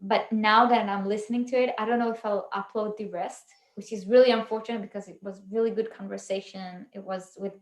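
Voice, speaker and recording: A woman hosting a relaxed fan livestream, talking in a loose, meandering way full of ums and restarts. Her voice sits in a middle register and comes through with a roomy, echoey sound around it. She keeps the tone conversational and unscripted. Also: medium pitch, fairly quick, hardly any pauses. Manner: chatty, rambling with fillers and restarts. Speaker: channel host. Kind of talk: casual livestream chat.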